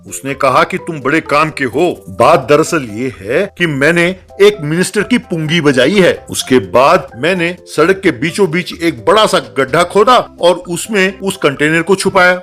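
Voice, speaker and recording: Comedy cartoon crook male actor: cocky, scheming ringleader, slightly high-pitched, light music bed. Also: moderate pace, hardly any pauses, bright, forceful tone. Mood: confident, scheming. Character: Bachchan, cocky scheming thief just out of jail. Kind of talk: Hindi comedy cartoon dialogue.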